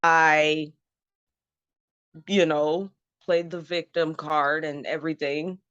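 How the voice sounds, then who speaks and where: A woman's voice: low-pitched, fairly even intonation, takes it slowly, a bit bright, clean tone, dry recording. A woman in her thirties from Zimbabwe, frankly telling her own story as an interview guest, often laughing and saying "um" and "you know". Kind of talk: candid personal story, casual. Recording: dull lo-fi dry sound.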